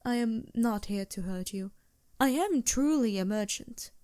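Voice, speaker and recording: A woman's voice: a little high, animated, measured pace, no room sound, studio quality. A woman playing a polite, reassuring vampire merchant in a fantasy ASMR roleplay, speaking formally with small hesitant asides. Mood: earnest, reassuring. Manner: genteel, formal phrasing with hesitant asides and soft chuckles.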